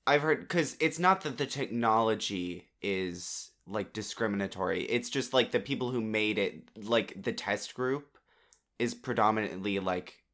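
Laid-back man, low-voiced podcast co-host. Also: unhurried. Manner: relaxed, thoughtful, dry humor, lots of "like". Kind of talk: casual podcast chat.

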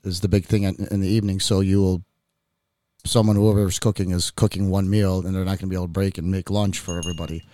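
A man's voice: low voice, level tone, fast talker, mellow, a little hiss or hum. A man from Chicago hosting a casual podcast in a studio, talking in a rambling way with lots of restarts and fillers. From Chicago, United States. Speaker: podcast host.

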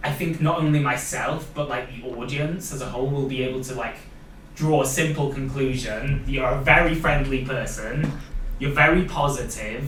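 A man's voice: medium pitch, normal speed, a bit bright. A young Welsh man hosts a casual interview, speaking in an encouraging, reflective way with plenty of "like" and "you know". He sits some distance from the mic in a roomy space, with a faint hum of noise behind him. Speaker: podcast host interviewing a young athlete.

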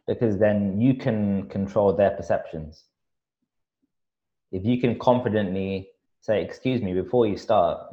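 A man with a very low voice talks through a podcast conversation, stopping and restarting often. He fills his gaps with ums and repeats words as he goes. The sound is dull and lo-fi. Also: a little mellow. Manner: halting, with many fillers and repetitions. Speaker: podcast guest. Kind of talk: casual conversation.

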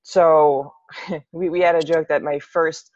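A woman's voice: low voice, steady tone, slow pace, a little mellow, dry recording. A young adult woman, a city EMT and fitness coach, chatting casually and often restarting her sentences. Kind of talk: casual podcast conversation. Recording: dull lo-fi, dry sound.

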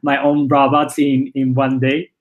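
Halting Chinese-born man with Spanish accent. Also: mid-range voice, completely flat delivery, dull audio. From China. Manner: halting, with frequent repeated words and "uh" fillers. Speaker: startup founder and CEO, guest. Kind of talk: casual interview conversation.

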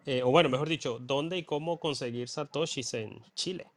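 A man's voice: mid-range voice, moderate pace. A man hosting a casual podcast chat, talking in a chatty, digressive way. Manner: chatty, digressive, laughs easily. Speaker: podcast host.

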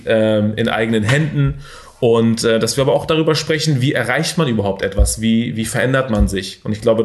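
A young man hosting a motivational podcast, energetic and full of fillers and English buzzwords. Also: mid-range voice. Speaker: podcast host. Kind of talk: casual motivational talk.

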